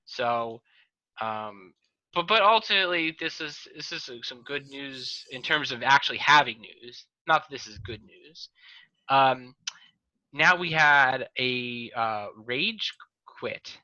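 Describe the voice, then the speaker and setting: A man hosting a casual talk show over a video call, wry and deadpan, with quick jokey asides. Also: mid-range voice, dry recording. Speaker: host, lawyer. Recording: dull lo-fi call audio.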